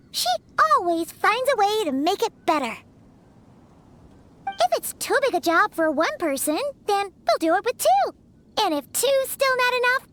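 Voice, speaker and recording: A woman's voice: extremely high pitch, pushed and bright, exaggerated voice. A woman voicing a tiny, cheerful, chatty female fairy companion who speaks of herself in the third person, in a video game.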